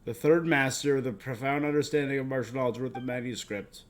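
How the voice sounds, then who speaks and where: A man's voice: mid-range voice, steady tone, fairly quick, a little hiss or hum. A man streaming a game live, commenting on play and reading the game's text aloud.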